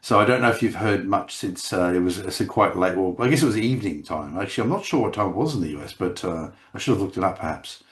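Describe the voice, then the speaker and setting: A man co-hosting a casual chat podcast over a video call, his voice croaky from laryngitis, rambling with many restarts. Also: low voice, lively intonation, quick pace, hardly any pauses. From Australia. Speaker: podcast co-host.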